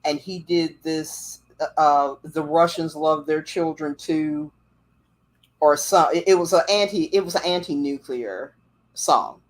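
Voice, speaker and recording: A woman's voice: low-pitched, measured pace. A woman hosting a livestream, chatting casually and telling stories. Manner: rambling, chatty storytelling. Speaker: livestream host. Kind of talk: casual chat. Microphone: livestream setup.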